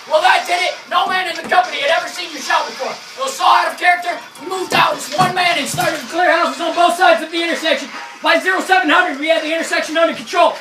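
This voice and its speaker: A man as an earnest, declamatory young-sounding soldier, bright and high, heard from the back rows of a war play. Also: quick pace, few pauses, over music. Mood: intense. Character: earnest American airborne soldier recounting battles as comrade. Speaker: actor in a war drama. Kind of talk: theatrical war narration. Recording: echoey room from far off, noisy.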